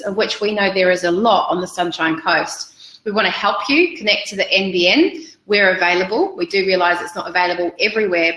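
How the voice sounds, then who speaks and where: Bright-voiced New Zealand woman presenting. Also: medium pitch, roomy sound, low-quality recording. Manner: upbeat and chatty, with frequent ums. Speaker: webinar presenter. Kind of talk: presenting a webinar with slides.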